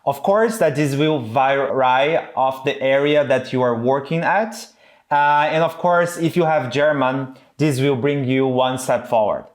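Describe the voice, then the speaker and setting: A man's voice: medium pitch, unhurried. A man from Brazil with a Brazilian accent and non-native English phrasing, a native Portuguese speaker, explaining things as a career coach. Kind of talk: explanatory video guide to camera.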